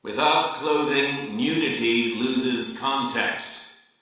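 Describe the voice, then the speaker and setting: A man's voice: medium pitch, extremely slow, sharp tone, slight background noise. Man acting a pontificating artist, heavy echo. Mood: didactic musing. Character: pontificating male artist monologuing on tape. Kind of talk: dramatic monologue from a novel. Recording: very echoey, distant from the mic.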